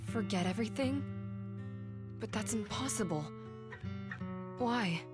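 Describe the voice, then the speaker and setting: A woman's voice: mid-range voice, lively intonation, slow pace. Blunt young woman soldier over music. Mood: confused, moved. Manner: direct, terse. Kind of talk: dramatic video game voice acting. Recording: music behind the voice.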